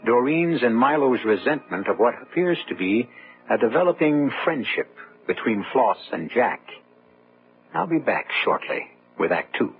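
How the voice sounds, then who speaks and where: A man narrating a radio drama as its host in a measured, theatrical, ominous way. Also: medium pitch, big pitch swings, soft background music. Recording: narrow tinny old radio broadcast.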